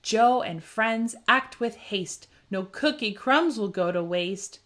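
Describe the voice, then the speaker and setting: A woman reading a children's picture book aloud with expressive, rhythmic phrasing and dramatic emphasis for young listeners. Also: mid-range voice, takes it slowly. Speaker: children's story reader.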